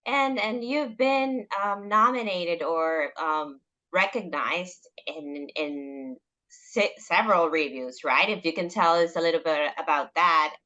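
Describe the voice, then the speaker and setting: A woman from the Republic, interviewing a guest and pausing often on "um". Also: lowish voice, sharp tone, lo-fi sound. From Dominican Republic. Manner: hesitant, many "um" pauses. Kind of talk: interview questions.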